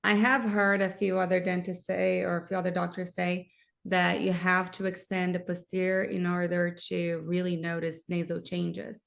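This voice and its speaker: A woman's voice: lowish voice, flat delivery, moderate pace, vocal fry. A woman hosting a live interview as a myofunctional therapist, asking eager, enthusiastic questions.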